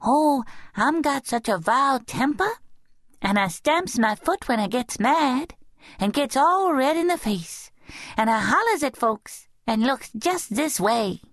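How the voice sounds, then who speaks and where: A woman's voice: high-pitched, animated, a little slow, dry recording, studio quality, pitched above the performer's own voice. A woman voicing a bold young girl in a storybook reading, with a small lisping voice, childish grammar and a self-possessed manner. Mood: earnest, insistent. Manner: baby-talk grammar, coolly self-possessed. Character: bold little girl, soft-spoken but fiery-tempered.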